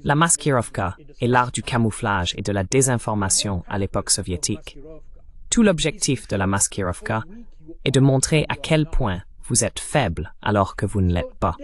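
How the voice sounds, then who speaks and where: A man delivering a translated voice-over of a political interview as the guest analyst, in a measured, explanatory way. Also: medium pitch, expressive.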